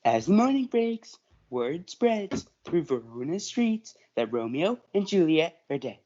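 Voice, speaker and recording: Low, lively-pitched female narrator. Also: unhurried. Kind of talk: scripted stage narration. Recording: dull lo-fi audio.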